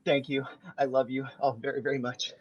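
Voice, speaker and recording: A man's voice: medium pitch, flat delivery, fairly quick, close to an everyday voice. A man reading the part of a vain, overeager young man desperate to fit in, in a sitcom table read, pleading and showy. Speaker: podcast host reading a part. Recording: dull lo-fi recording.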